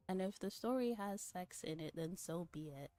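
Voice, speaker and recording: Casting: young female streamer, soft mellow tone, unhurried rambling, giggly and teasing, dry close sound with music behind. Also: on the low side, expressive, no room sound. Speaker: livestreamer. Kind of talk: casual livestream chat with viewers.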